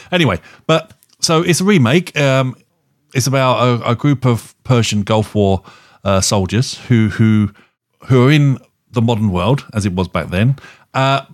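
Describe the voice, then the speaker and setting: A man casually reviewing films as a podcast co-host, rambling and halting as he circles back over his phrases. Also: lowish voice. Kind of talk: casual film review chat.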